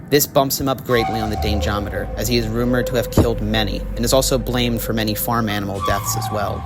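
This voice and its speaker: A young man reading scripted horror documentary narration in an ominous, dramatic storytelling way. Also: medium pitch. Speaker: video essay narrator. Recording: music under the voice. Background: background music.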